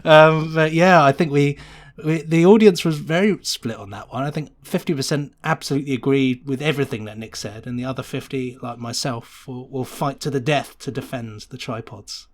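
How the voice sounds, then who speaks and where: A man's voice: medium pitch, normal speed. A man talking casually as a podcast guest, in a chatty, rambling way. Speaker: podcast guest, sound designer. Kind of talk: casual conversational interview.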